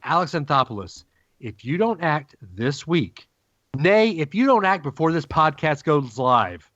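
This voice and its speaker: A man co-hosting a sports podcast, ranting emphatically with dramatic pauses. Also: a little high, very animated, a bit bright, no echo, muffled recording. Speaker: podcast co-host. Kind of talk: casual sports podcast banter.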